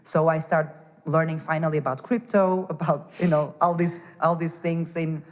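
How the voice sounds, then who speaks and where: A woman with a slightly low voice speaks in a lively, unplanned way, restarting her sentences and filling pauses with "you know". She sounds like she is in a reverberant hall with a long echo tail. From Portugal. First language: Portuguese. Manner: animated, restarts often, says "you know" a lot. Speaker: guest, angel investor. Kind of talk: casual interview chat. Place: startup hub space.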